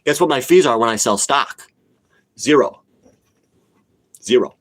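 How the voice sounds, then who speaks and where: A man's voice: mid-range voice, expressive, a little slow, fairly bright tone. Blunt man around forty with a cold. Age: about forty. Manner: blunt, opinionated, repeats himself for emphasis. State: sick with a cold, sniffling. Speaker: livestream host, retail investor. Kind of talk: casual livestream chat, answering viewer comments.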